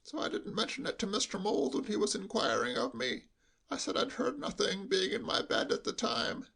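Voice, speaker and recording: A man reading a story aloud and voicing a timid old male butler with a high, bleating, quavering voice and a slow, deferential, hushed way of talking. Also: gravelly, husky, exaggerated voice.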